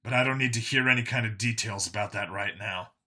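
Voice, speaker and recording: A man's voice: lowish voice, fast talker, bright, forceful tone. A man voicing a guarded, blunt male cop in an audiobook, speaking with restraint. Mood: uncomfortable. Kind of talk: audiobook character dialogue.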